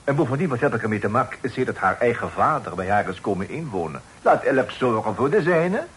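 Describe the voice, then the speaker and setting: A man playing an obsequious, evasive lodging-house keeper in a Dutch radio drama, halting and servile, with broken phrasing and French words. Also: mid-range voice, sing-song, close to an everyday voice. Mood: evasive, flustered. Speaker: radio play actor. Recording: faintly noisy old radio play recording.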